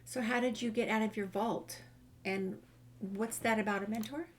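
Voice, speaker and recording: A woman's voice: mid-range voice, steady tone, normal speed, a little hiss or hum. A woman doing a casual game walkthrough, reading dialogue choices aloud and commenting. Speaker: gamer narrating a walkthrough.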